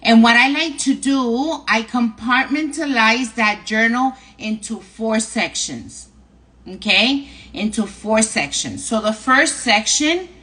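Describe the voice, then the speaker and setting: A woman's voice: a little high, animated, takes it slowly, bright, forceful tone. A middle-aged woman from New York with a New York accent, chatting casually as she hosts a live stream of advice, often tagging her sentences with "right?" and "okay?". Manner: chatty, repetitive, tags lines with "right?" and "okay?". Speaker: host.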